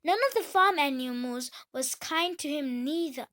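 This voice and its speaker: A woman reading a children's story aloud as the storyteller, with an expressive delivery. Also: high-pitched, moderate pace.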